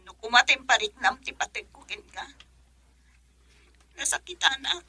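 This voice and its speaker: High, breathy, anxious young wife. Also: female voice, animated, normal speed, sharp tone, heavily acted. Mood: worried. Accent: Filipino. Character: anxious, tearful young wife working abroad. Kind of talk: Ilocano radio drama acting.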